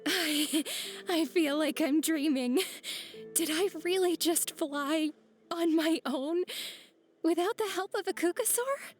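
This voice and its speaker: A woman's voice: extremely high pitch, takes it slowly, soft background music. An actress playing a timid young female trial candidate, speaking in a hesitant, wondering way. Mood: awestruck. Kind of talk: video game cutscene dialogue.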